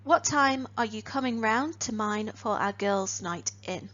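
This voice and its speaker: A woman teaching phrasal verbs in an online lesson. She speaks in a clear, deliberate way and lifts each new phrasal verb. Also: medium pitch, a bit bright, highs cut off. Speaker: English teacher.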